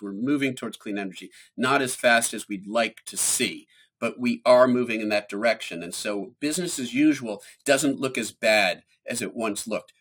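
A man speaking as a scientist guest in an interview, explaining in a measured way and stressing key points. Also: fairly low pitch, fairly bright tone.

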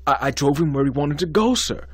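A man's voice: fairly high pitch, animated, a little fast, husky, dry recording, pitched above the performer's own voice. A man voicing an anxious young male student who pleads, stammers and keeps saying sir. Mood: nervous.

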